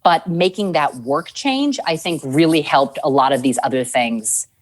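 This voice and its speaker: Low-voiced woman in her forties, lively storyteller. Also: moderate pace. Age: 40s. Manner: lively storytelling with acted-out quotes, frequent laughs. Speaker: TV news anchor, podcast guest. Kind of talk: casual interview conversation.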